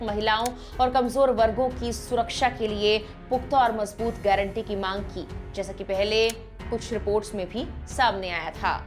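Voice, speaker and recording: Higher-pitched woman reading scripted news over music. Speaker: news presenter. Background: background music.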